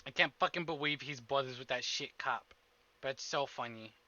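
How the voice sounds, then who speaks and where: A man's voice: medium pitch, normal speed, sharp tone, lo-fi sound. A man streaming a visual novel playthrough, chatting in a jokey, sweary way and reading text aloud. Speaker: game streamer. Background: visual novel music.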